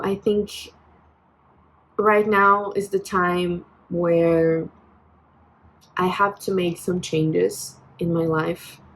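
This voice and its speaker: A young Brazilian woman in her twenties talks into her phone in English, her second language, with a Portuguese-tinged accent. Her voice sits a bit low and her pace is very slow. She sounds reflective and hesitant, full of fillers and restarts. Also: rough recording. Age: 20s. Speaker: vlogger. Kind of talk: personal venting vlog.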